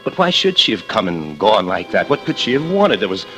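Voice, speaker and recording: A haunted killer in hiding, narrating a vintage radio thriller in a low voice. He speaks theatrically, haltingly, repeating himself in dread, over dramatic music. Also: big pitch swings, fairly bright tone. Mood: haunted, frantic. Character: desperate man hiding out, haunted killer fearing his wife. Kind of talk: 1940s radio drama acting, first-person suspense narration. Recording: old radio recording with organ music.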